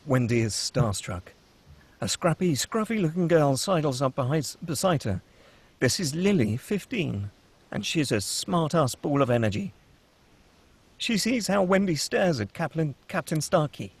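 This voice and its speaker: Husky man reading stage directions. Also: on the high side, a little slow. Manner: steady, descriptive. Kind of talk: table read narration.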